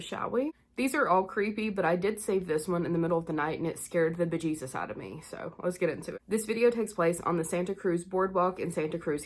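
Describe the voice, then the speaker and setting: A young woman hosting a spooky vlog, sounding stuffed-up and nasal and a bit short of breath, chatting casually with lots of fillers. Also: fairly low pitch. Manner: chatty, rambling with many "like" fillers. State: congested, nasal from illness. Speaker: spooky-content creator and host. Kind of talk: casual chat to camera.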